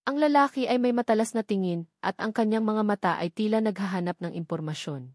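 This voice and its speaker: A Filipino woman reads a romantic drama in the first person. Her medium-pitched voice is emotive, with short phrases set apart for effect. The sound is close and dry, with no room around it. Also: fast, a little mellow, dry recording. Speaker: story narrator.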